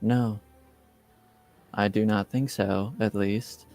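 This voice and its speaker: A man voicing a placid, emotionless mage who speaks in flat, literal phrases in a tabletop roleplaying game. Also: on the low side, slow, gravelly, faint music behind.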